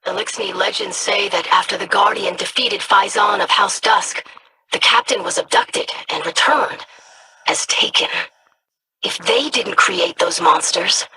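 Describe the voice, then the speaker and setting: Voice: medium pitch, measured pace, bright, forceful tone, husky, heavily acted, rough recording. An earnest scholarly scribe. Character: earnest, studious scribe, curious and careful. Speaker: scribe investigating monsters. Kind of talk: video game voice lines.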